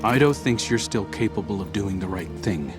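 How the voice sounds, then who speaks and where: An actor playing a wry, weary human commander in a video game, talking in a dry, low-key, plain-spoken way. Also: male voice, lively intonation, moderate pace, natural voice. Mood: wary, measured. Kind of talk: video game cutscene acting. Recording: loud music underneath.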